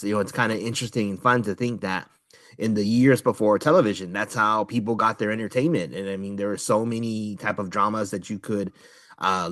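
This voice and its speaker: A man's voice: lowish voice, no echo, studio quality. A middle-aged American man hosting a casual podcast conversation. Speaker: podcast host.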